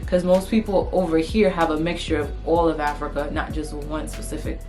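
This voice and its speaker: A woman's voice: sits low, fairly even intonation, brisk. A woman from Antigua and Montserrat co-hosting a casual conversation, earnest and repeating her point. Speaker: co-host. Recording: faint music underneath.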